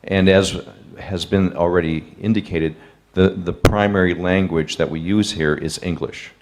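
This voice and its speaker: A man, a professor, answering questions in a webinar over a video call in a measured, explanatory way. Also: low voice, steady tone, background noise.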